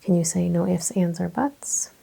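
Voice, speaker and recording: A woman speaking softly and breathily, often in a whisper, giving gentle, reassuring instructions as a chiropractor in an ASMR roleplay. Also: on the low side, normal speed, creaky, poor audio. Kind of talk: ASMR, soft-spoken clinical roleplay exam.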